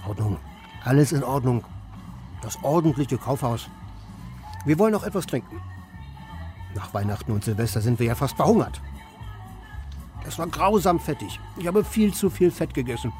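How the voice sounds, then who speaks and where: A man acting in a radio play as an aimless, sardonic loiterer who speaks in a laconic, provocative, deadpan way. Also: medium pitch, normal speed, long pauses, soft background music, natural voice. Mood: sardonic, needling. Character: aimless idle man, sardonic, stubborn department-store loiterer. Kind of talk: German radio play dialogue and first-person narration.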